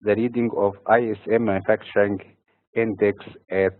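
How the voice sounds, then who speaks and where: A man from Egypt hosting a weekly financial market update, reading dates and figures in a steady, measured way. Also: fairly low pitch, dry recording. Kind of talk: scripted market commentary. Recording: dry, phone-like narrow sound.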